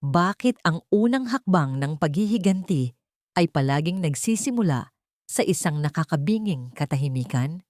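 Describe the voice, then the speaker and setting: A woman's voice: low voice, expressive, moderate pace, darkish tone, no echo, studio quality. A woman reading a scripted dramatic love story as its narrator.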